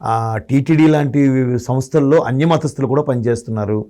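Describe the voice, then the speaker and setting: Man, political commentator, medium pitch, argumentative, rhetorical questions. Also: normal speed, dark tone. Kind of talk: political discussion.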